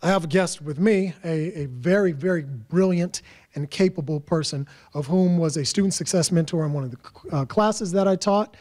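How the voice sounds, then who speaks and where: A man's voice: fairly high pitch, normal speed, darkish tone. A man who coaches and mentors students, giving an emphatic motivational talk with punchy repetition.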